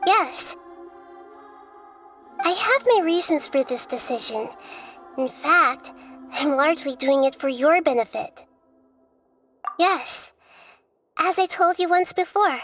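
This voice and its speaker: A woman voicing a young, gentle female goddess of wisdom who explains things in a calm, measured way. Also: very high-pitched. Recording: game audio with faint music.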